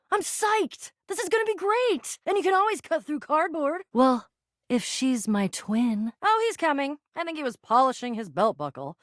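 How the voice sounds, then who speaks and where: A plucky video game adventurer, a living cardboard box who sees herself as a noble thief. Her voice is high and swings widely in pitch, close and dry. She talks in grand, theatrical phrases that tumble into giddy, eager slang. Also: no room sound. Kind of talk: animated game character dialogue.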